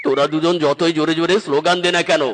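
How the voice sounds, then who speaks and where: A man's voice: a little high, steady tone, fast talker, far from the mic, over music, natural voice. A man voicing a boastful, taunting tiger who rules the forest as headman in a comic cartoon. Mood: boastful, commanding.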